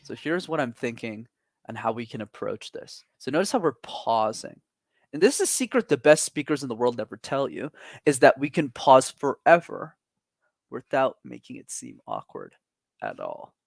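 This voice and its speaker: A man's voice: moderate pace, creaky, dry recording. A man coaching on public speaking during a webinar, talking energetically and with emphasis over a video call. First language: not English. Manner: energetic, emphatic, lively pitch swings. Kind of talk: webinar advice talk.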